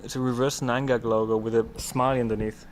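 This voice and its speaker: A man's voice: medium pitch, little pitch movement, a little fast. A man live-streaming as he walks outdoors by the water, talking to his chat in a casual, slangy way. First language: not Swedish. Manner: chatty, slangy, talks to chat. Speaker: livestreamer. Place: outdoors by the water, wind and rain. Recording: outdoor noise behind.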